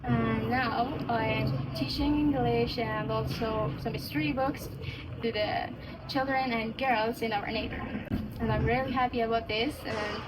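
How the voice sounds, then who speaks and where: Teenage girl, far from the mic, halting. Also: a little high, steady tone. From Afghanistan. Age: teens. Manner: hesitant, many fillers and restarts. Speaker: interviewee. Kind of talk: casual interview answers. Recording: distant mic with clear background noise.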